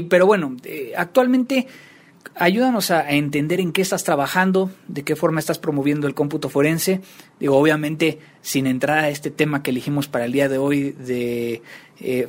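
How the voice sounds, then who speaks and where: A man's voice: a little high, normal speed. A man hosting an interview podcast in a conversational style.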